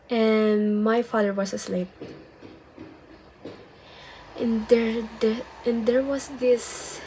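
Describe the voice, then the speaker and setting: A young woman vlogging, telling a personal story casually, hesitant and full of restarts, dropping into soft whispered asides. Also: a little high, slight background noise, rough recording.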